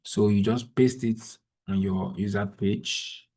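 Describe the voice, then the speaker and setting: Low gravelly man explaining patiently. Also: fairly even intonation, slow, a little mellow. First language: Igbo. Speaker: trainer. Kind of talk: screen-share tutorial.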